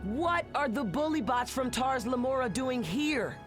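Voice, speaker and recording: A young man playing a cocky, brash teenage alien boy captain in an animated video game, bantering as he goes. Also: very high-pitched, measured pace, music in the background. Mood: serious, urgent.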